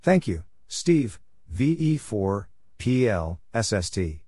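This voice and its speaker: A low-pitched man reads out radio call signs one letter at a time. His delivery is slow and broken into short phrases. The voice is mellow and a little rough, as a practice narrator for Morse code. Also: big pitch swings, a little hiss or hum.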